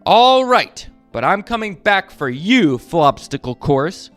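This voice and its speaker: A confident storybook superhero, as a dad reads him out. The voice stays close to the reader's own, steady and determined, rising with eagerness, over faint guitar music. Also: male voice, high-pitched, takes it slowly, voice pushed up, full character voice. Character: confident adult male superhero, eager to race.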